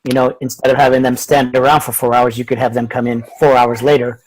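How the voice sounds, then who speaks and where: A man talks through an example as a student in an online class, checking as he goes. His voice is medium in pitch, and the sound is very dry with no room around it. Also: flat delivery, quick pace, a little mellow, no echo, low-quality recording. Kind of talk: tentative worked-example discussion. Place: video conference call.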